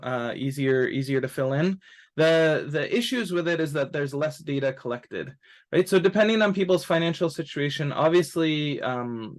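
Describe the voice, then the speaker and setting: A man presenting an informal webinar as an advisor, explaining things in a hesitant, halting way with many filled pauses. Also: mid-range voice. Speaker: college admissions advisor and presenter.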